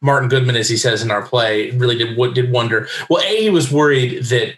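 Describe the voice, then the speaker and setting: A man's voice: medium pitch, brisk, fairly bright tone. A middle-aged man with an American accent, explaining things chattily in a casual interview. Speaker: interview guest, comics writer. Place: book-lined room at home on video call. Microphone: desk microphone on video call. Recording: roomy, echoey home recording.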